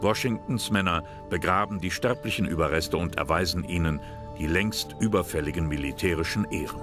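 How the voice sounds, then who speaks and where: A deep male voice narrates a history documentary in a calm, measured way. Steady music plays clearly under the voice-over throughout.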